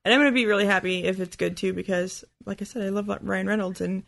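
A man's voice: high-pitched, fairly even intonation, fast talker, gravelly, fairly bright tone, no room sound. A man co-hosting a podcast in casual, conversational talk. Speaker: podcast co-host. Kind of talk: casual chat.

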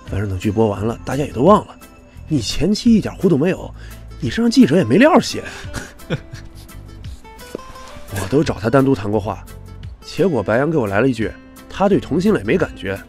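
A man's voice: mid-range voice, very animated, brisk, pauses often, a little mellow, soft background music, lightly acted. An actor in a Chinese audio drama playing a chatty, fawning TV director, deferential and gossipy as he wheedles his bosses. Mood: complaining, wheedling.

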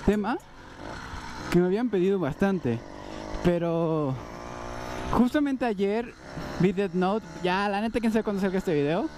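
A man's voice: high-pitched, animated, measured pace, long pauses, music in the background. A man with a Mexican accent chatting casually and slangily, rambling along as he rides a motorbike and records outdoors. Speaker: motovlogger. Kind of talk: casual chat while riding. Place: outdoors on a street, riding a motorized bicycle. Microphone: action camera worn by the rider. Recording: loud outdoor vehicle and street noise.

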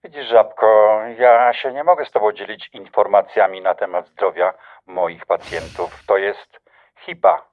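A man's voice: fairly low pitch, fairly even intonation, measured pace, phone-quality sound. An actor playing a smug, flirtatious doctor who teases his ex-lover, in a radio drama over a telephone. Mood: smug, teasing. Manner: teasing, insinuating.

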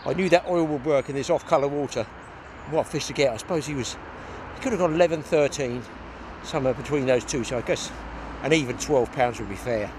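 A man's voice: medium pitch, brisk. An older man hosting a fishing show, chatting casually and exclaiming to viewers in an outdoor recording. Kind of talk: casual fishing vlog commentary to camera. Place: outdoors on a grassy riverbank. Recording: outdoor location sound with background noise.

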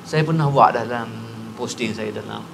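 A man's voice: medium pitch, moderate pace, vocal fry, a bit bright, a little hiss or hum. A retired man in his sixties with a Patani Malay accent, earnestly explaining a point in a studio interview. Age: 60s. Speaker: guest. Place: TV studio set, on a couch. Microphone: lapel mic.

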